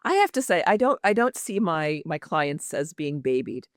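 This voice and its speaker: A woman's voice: low-pitched, sing-song, moderate pace, dry recording, studio quality. A woman executive communication coach speaking as an interview guest in an earnest, reflective way.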